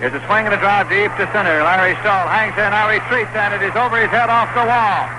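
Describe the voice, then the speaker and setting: Brisk 1960s baseball radio announcer, man. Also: high voice. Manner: brisk, rising excitement on big plays. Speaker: play-by-play announcer. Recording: hissy 1960s radio broadcast.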